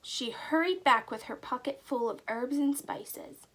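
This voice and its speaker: A woman narrating a children's storybook aloud with expressive, deliberate storytelling. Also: high voice, rough recording. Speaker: teacher reading aloud.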